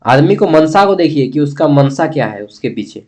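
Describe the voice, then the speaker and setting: A man's voice: a little high, lively intonation, moderate pace, a little mellow. A man with a Bihar-Jharkhand accent from eastern India, giving an informal exam-review lesson as a blunt, opinionated teacher who keeps checking with listeners. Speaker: history teacher.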